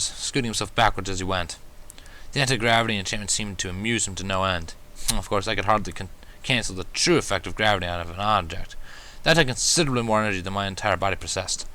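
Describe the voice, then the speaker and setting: A man's voice: on the low side, fast talker, sharp tone. A man narrating a first-person fan fiction story, reading expressively as an amateur.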